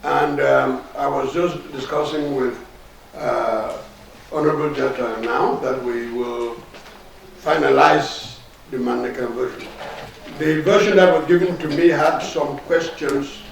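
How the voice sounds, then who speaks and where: A man giving a measured, explanatory answer as an official at a formal workshop. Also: medium pitch, steady tone, vocal fry. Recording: echoey room, distant mic, faint noise.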